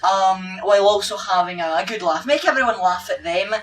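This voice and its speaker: A woman's voice: medium pitch, a little fast, a bit bright, recorded from a distance, lightly acted. A woman playing a flamboyant, theatrical court jester with a mocking manner and gleefully violent humor in an improvised question-and-answer skit.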